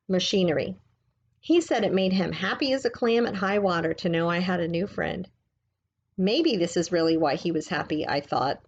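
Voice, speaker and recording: A woman reading a book aloud like a teacher, in a gentle, measured, explanatory way. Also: fairly low pitch, slightly rough, highs cut off.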